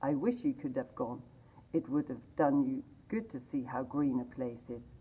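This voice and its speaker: A woman voicing a grieving poor father who speaks tenderly and haltingly. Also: extremely low pitch, dark tone, slightly rough. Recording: tinny phone-like sound.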